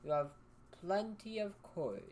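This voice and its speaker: A woman voicing a gentle male scarecrow stuffed with straw, speaking huskily, softly and politely in a storybook reading. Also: expressive, a little hiss or hum, close to an everyday voice. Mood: soft, plaintive. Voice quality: husky. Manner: slow, soft and low, polite and plaintive. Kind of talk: storybook read-aloud.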